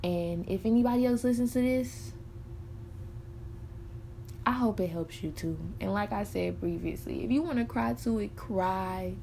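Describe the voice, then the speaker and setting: A woman's voice: mid-range voice, a little slow, vocal fry, warm tone, slight background noise. A woman in her early twenties, talking candidly and frankly in a personal monologue, swearing freely and rambling with many fillers. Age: early 20s. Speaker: podcaster.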